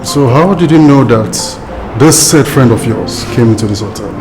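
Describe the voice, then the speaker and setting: A stern man, played by an actor, questioning a visitor at a hotel, with loud music playing beneath him. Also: medium pitch, moderate pace, lightly acted.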